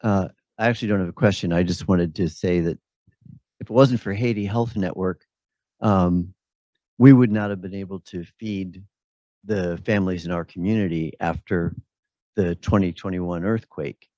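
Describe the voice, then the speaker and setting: A man commenting at a symposium, speaking off the cuff in a halting way as he thinks aloud. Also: low-pitched, fairly even intonation, dark tone, no echo. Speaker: birth center operator, symposium participant. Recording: dull lo-fi audio.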